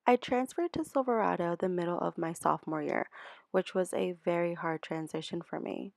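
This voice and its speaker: A woman's voice: fairly low pitch, moderate pace, creaky, a little mellow, dry recording. Earnest young woman reading a script. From El Salvador. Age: 20s. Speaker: student teacher. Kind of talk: scripted narration for a class video.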